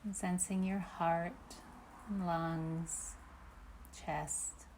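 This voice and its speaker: Woman, low pitch, very slow pace, gentle meditation guidance, long pauses. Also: level tone, a little hiss or hum. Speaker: meditation teacher. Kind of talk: guided meditation.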